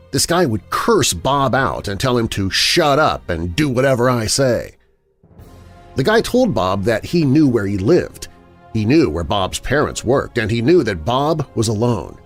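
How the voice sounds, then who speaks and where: A man reading first-person scary stories as a narrator, in a dramatic, expressive storytelling manner. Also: fairly low pitch. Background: background music.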